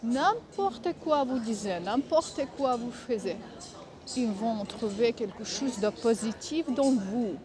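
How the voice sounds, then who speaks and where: A woman speaking French that is not her native language, giving casual advice in a vlog, halting often to search for words. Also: fairly high pitch, lively intonation, crowd noise. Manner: halting, many filler pauses, searching for words. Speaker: vlogger.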